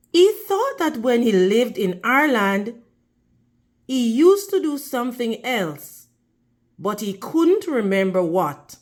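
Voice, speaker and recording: A woman's voice: fairly high pitch, a little mellow. A woman reading a storybook aloud to young children in a teacherly manner, prompting her listeners with questions.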